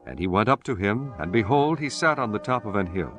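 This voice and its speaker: A man's voice: on the low side, lively intonation, brisk, fairly bright tone. A man narrating a dramatized scripture audiobook in a solemn, formal, scripted style. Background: music.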